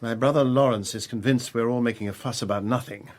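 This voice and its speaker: An actor playing a blunt English country gentleman with an upper-class accent and clipped manner, in a radio drama. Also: medium pitch, fairly even intonation, fast talker, lightly acted. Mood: troubled. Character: well-bred, blunt English country squire, bereaved son.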